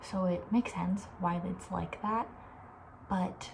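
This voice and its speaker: Soft-spoken ASMR woman, noisy recording. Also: fairly low pitch, steady tone, slow. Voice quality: soft, breathy, often near a whisper. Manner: chatty, informal, thinking aloud. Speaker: ASMR video host.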